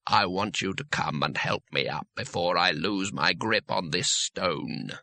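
A man voicing a nervous, cynical wizard who talks slowly and low in a grudging tone. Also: bright, forceful tone, heavily acted. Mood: anxious. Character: nervous cynical wizard hanging over a drop.

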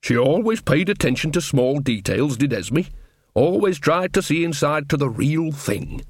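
A man's voice: fairly high pitch, animated, moderate pace, slightly rough, no echo, studio quality. A man voicing an earthy, unsentimental old witch woman in an audiobook, blunt and motherly with folksy turns of speech. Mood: matter-of-fact, wry. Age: older. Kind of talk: audiobook character dialogue.

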